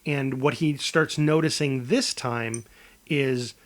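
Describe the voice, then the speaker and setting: A man co-hosting a podcast, talking casually in a halting, rambling way as he improvises a pitch. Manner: halting, rambling delivery with false starts. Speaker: podcast co-host.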